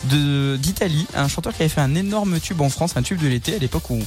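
A man's voice: on the high side, fast talker, darkish tone. A man hosting a morning radio show, joking, laughing often and padding with fillers. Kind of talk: lively morning radio banter. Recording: music bed under voice.

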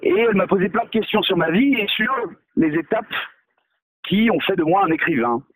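A man's voice: sits high, lively intonation, brisk. A male novelist in a radio interview, talking hesitantly with many fillers and restarts, mocking himself. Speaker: novelist guest.

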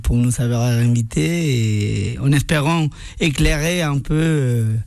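A man's voice: medium pitch, slow, gravelly, darkish tone, no echo. A man from Argentina with an Argentine accent, a chef guest on a radio show, searching for his words as he talks. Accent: Argentine Spanish. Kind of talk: radio interview.

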